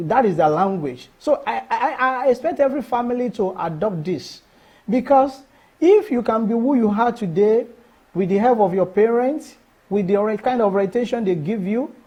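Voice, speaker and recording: A man's voice: high-pitched, warm tone, slightly rough, dull audio. A middle-aged Nigerian man whose first language is Yoruba, answering interview questions earnestly and emphatically, often restarting phrases. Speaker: cultural festival organizer.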